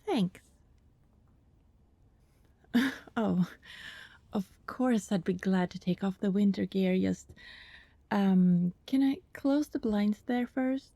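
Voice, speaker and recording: Casting: actress as eager female job applicant, soft mellow voice, polite, chatty, slightly flustered, dry close recording. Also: medium pitch, slow, pauses often, dry recording. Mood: polite, nervous. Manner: chatty, hesitant, nervously friendly. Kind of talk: ASMR roleplay acting, conversational.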